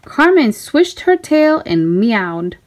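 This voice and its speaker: A woman narrating a children's storybook aloud in an expressive, deliberate way. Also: on the high side.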